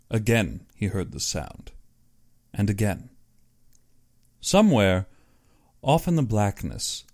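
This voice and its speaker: A man's voice: fairly low pitch, darkish tone, dry recording, clean studio sound. A man reading scripted story narration in a dramatic audiobook style.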